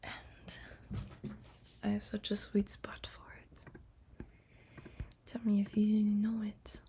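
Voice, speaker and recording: A woman chatting in a breathy, hushed whisper on a sleep stream, gentle and soft. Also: medium pitch, completely flat delivery, slow pace. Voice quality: breathy, whispery when talking. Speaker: streamer singing listeners to sleep.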